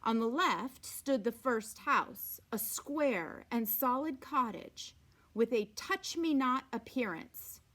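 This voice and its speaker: A woman with a high, very animated voice reads a story aloud. She takes her time, shaping phrases deliberately and dramatically, as in an expressive read-aloud for listeners following along. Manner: deliberate, dramatic phrasing, sometimes dropping to a hush.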